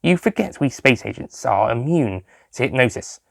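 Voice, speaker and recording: A man voicing a brisk, bossy female space agent in an audio story. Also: medium pitch, very animated, creaky, no room sound, clean studio sound. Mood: scornful.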